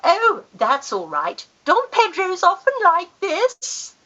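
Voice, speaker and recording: A woman's voice: very high voice, huge pitch swings, slow, pushed and bright, lo-fi sound, exaggerated voice. Woman playing a swooping, gushing grand society aunt. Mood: gushing storyteller. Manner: theatrical, emphatic, genteel. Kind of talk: Victorian farce radio acting.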